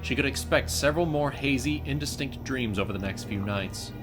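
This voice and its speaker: A man's voice: mid-range voice, brisk, over music. A man reading scripted story narration as the narrator of an audio drama.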